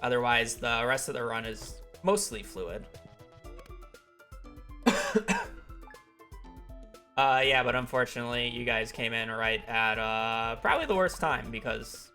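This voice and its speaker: A man's voice: medium pitch, measured pace, pauses often, bright, forceful tone, soft background music. A man talking casually over a live gaming stream, explaining at length with many fillers, his voice congested from a recent illness. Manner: rambling, filler-heavy explanations.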